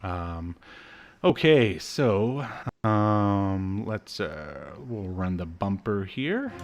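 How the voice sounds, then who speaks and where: Guy in his 30s doing casual podcast chat, kinda jokey and halting, video call from home. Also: fairly low pitch, sing-song. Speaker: podcast co-host.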